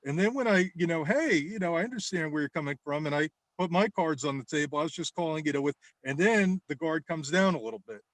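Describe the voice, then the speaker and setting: A man's voice: fairly high pitch, fast talker, few pauses, no room sound. Rambling man in casual podcast chat. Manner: rambling, with many restarts and fillers; acts out the people he talks to. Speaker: guest, political campaign caller.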